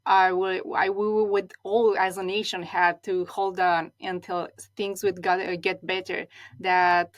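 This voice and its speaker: Soft-pitched Romanian-born woman stylist. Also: level tone, a little slow, highs cut off. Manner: thoughtful, with frequent restarts and repeated words. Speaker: guest, stylist. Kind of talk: reflective interview talk.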